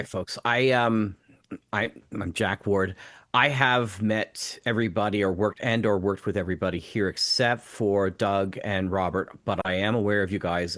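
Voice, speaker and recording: A man's voice: fairly low pitch, a bit bright, no room sound. A man speaking casually and chattily, effusive toward others, as a panelist introducing himself. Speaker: panelist, audio drama producer.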